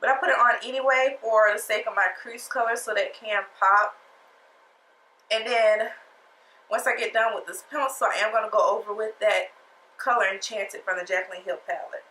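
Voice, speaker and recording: A woman's voice: medium pitch, steady tone, moderate pace, bright, forceful tone, reverberant, a little hiss or hum, poor audio. A woman talking through a makeup tutorial in a casual, sassy, chatty way, her nose stuffed up from a cold. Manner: chatty, sassy, talks straight to viewers. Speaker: beauty vlogger.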